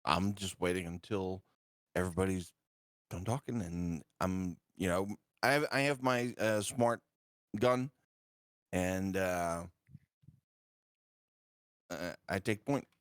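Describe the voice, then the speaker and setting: Very deep, slow-talking male player. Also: clear, resonant tone, no room sound, studio quality. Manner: slow, hesitant and unhurried. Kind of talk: casual tabletop chat.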